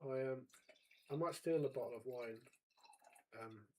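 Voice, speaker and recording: A man of forty co-hosting a casual chat, wry and pedantic, quick to argue a point. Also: medium pitch, darkish tone. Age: 40s. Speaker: podcast co-host.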